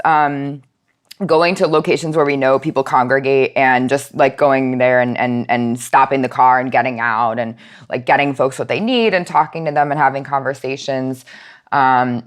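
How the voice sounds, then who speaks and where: An American woman speaking as an interview guest, explaining her nonprofit work in an informal, conversational way with many casual asides. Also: rumbling low.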